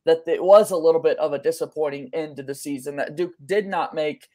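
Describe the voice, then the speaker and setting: High-pitched enthusiastic male podcast host. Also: brisk, dark tone. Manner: enthusiastic, eager. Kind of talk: upbeat sports podcast hosting. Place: small home room, indoors.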